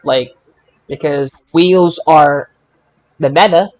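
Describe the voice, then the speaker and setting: Halting male podcast host, casual chat. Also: on the high side, a little mellow, low-quality recording. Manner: halting, stammering restarts. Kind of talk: casual wrestling review chat.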